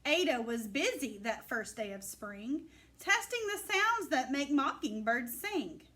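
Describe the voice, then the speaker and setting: A woman reads a rhyming story for children in a high voice that swings widely in pitch. She takes her time, phrasing each line with clear emphasis and expression. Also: sharp tone. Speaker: storybook reader. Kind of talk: animated read-aloud of a rhyming children's picture book.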